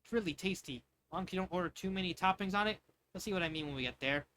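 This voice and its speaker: A man voicing an excitable, easily amazed young girl cousin in an amateur fan fiction reading. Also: sits high, racing, slight background noise. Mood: warm, eager.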